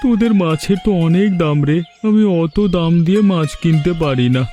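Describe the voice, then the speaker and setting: A man's voice: a little high, a little fast, mellow, natural voice. A man voicing a poor, worried, grateful villager in a cartoon. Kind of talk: Bengali cartoon dialogue. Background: background music.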